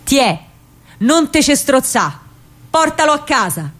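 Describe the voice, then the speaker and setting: A woman voicing a big, gruff but kind-hearted Roman shopkeeper man, brusque and urging, in a radio play. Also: high voice, big pitch swings, slow pace, a bit bright, voice pushed up, exaggerated voice. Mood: gruffly generous.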